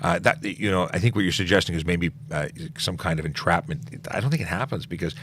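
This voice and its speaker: A middle-aged policeman with a low, deep voice hosts a casual live Q&A. He reads out questions and answers them off the cuff, with restarts and fillers, while a faint hiss sits under the recording. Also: fast talker, a bit bright. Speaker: police officer hosting a Q&A livestream. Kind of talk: casual livestream Q&A.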